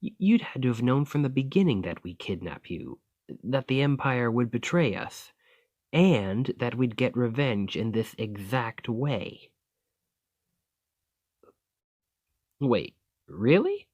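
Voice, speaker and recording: A man's voice: mid-range voice, big pitch swings, a little slow, darkish tone, no room sound. A man playing a roguish bandit leader in an ASMR roleplay, teasing and grudgingly impressed, with folksy turns of phrase. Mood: baffled, disbelieving. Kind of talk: ASMR roleplay acting, one-sided dialogue.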